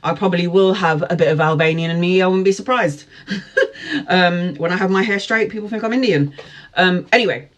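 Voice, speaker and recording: A man's voice: sits high, brisk, a bit bright, lo-fi sound. A man in his forties, a tarot reader telling a rambling personal story to camera in a chatty way. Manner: rambling, chatty, frequent fillers and asides.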